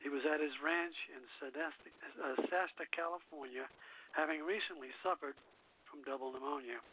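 A man hosting a radio show, reading biographical narration haltingly, with casual asides. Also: fairly high pitch, noisy background. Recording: phone-like internet radio line.

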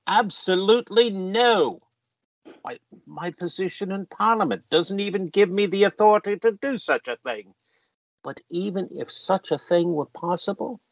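Man acting a pompous, measured gentleman politician. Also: high-pitched, no room sound. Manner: pompous, measured, old-fashioned gentlemanly phrasing. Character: genteel, self-satisfied male member of Parliament, old university friend. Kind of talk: radio play acting.

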